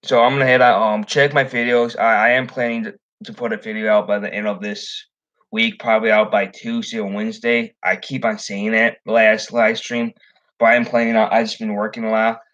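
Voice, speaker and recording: A young man from the Chicago area hosts a casual fishing livestream. His slightly low voice wanders through ums and restarts. The stream audio is dull and lo-fi. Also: little pitch movement, a little fast, fairly bright tone. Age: young adult. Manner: rambling, full of ums and restarts. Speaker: fishing channel host.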